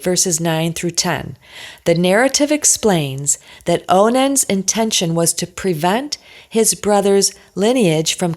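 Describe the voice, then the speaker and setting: A woman's voice: fairly low pitch, darkish tone. A woman giving an informal religious teaching talk, emphatic and insistent, repeating her points and posing rhetorical questions.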